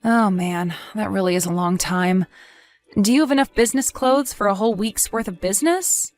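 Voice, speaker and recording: A woman's voice: mid-range voice, moderate pace, faint music behind, close to an everyday voice. A woman playing a caring, cautious and practical wife, fussing conversationally in an audio drama.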